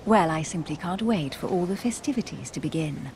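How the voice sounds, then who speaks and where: A woman's voice: on the low side, animated, brisk, background noise, lightly acted. A woman voicing a poised, aristocratic duchess in a video game, formal and refined. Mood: amused, gracious. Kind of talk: video game cutscene acting.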